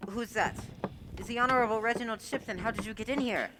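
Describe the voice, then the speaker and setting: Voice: medium pitch, fast talker, lightly acted. A performer playing a stern, accusing French police inspector in a radio play. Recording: clearly noisy recording with clicks.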